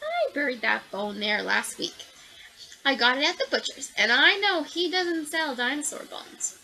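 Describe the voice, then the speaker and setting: A woman's voice: sits high, sing-song, unhurried, gravelly, sharp tone, pitched above the performer's own voice, exaggerated voice, rough recording. A woman doing a blunt, barking storybook dog. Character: gruff male dog guarding his bone.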